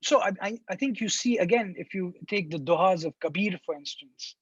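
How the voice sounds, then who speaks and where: A man's voice: high voice, brisk, husky, no echo, dull audio. A man from India, a professor answering seminar questions thoughtfully, with frequent restarts and fillers. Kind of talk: academic seminar talk.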